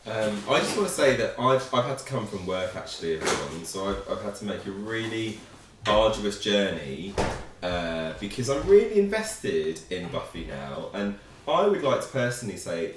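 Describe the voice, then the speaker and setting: A man's voice: sing-song, moderate pace, noisy background. A man, co-hosting a casual reaction chat in a mock-dramatic, jokey way. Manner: theatrical, mock-dramatic, swooping pitch. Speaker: reaction video co-host. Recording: roomy echo, mic far off.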